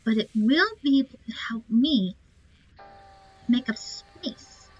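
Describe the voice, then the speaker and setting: A woman's voice: on the high side, slow, breathy edge. A woman giving casual commentary as she plays a game, thinking aloud in short, musing remarks. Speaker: gamer recording a playthrough. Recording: muffled lo-fi game capture. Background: game music.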